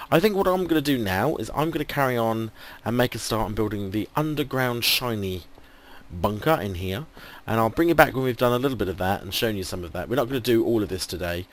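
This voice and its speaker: An English man commentating casually on his game, thinking aloud with many ums, while losing his voice. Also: fairly low pitch. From England. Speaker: gaming video host. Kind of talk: casual let's play commentary.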